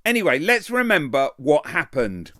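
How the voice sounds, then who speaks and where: A man's voice: a little high, normal speed, no echo, studio quality. A man narrating political clips with sarcastic, mocking commentary. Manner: mocking, lively delivery. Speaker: channel host narrating clips.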